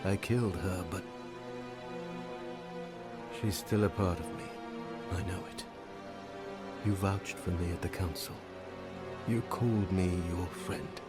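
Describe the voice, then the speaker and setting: An actor playing a burdened, somber sorcerer hero in a video game, speaking earnestly and heavily. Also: male voice, sits low, fairly even intonation, slow pace, long pauses. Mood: troubled confession. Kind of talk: dramatic video game cutscene acting. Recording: loud music under the voice.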